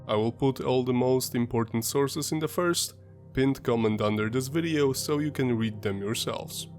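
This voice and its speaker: Brief: male host, stuffy sinus-congested voice, mid-pitched, measured documentary read, faint music underneath. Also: darkish tone. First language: Polish. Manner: measured, explanatory storytelling. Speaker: history channel host. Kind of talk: scripted documentary narration.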